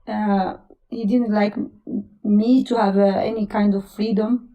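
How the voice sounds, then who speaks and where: Italian-accented woman, slow and halting. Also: medium pitch, fairly even intonation, lo-fi sound. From Italy. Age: 30s. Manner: halting, many fillers and restarts, laughs often. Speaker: caller. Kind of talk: casual live call-in chat.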